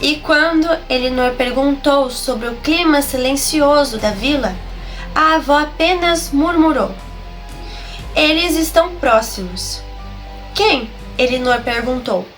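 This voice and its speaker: A woman with a Brazilian accent who hosts a horror story channel and narrates slowly and dramatically, her voice nasal and a little hoarse from a flu. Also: sits high, soft background music. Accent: Brazilian Portuguese. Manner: slow, dramatic storyteller's delivery. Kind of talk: slow scripted horror narration.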